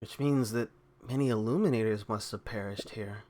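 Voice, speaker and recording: A man's voice: lowish voice, normal speed, mellow. A man streaming a video game, chatting casually and reading story dialogue aloud, with game music behind him.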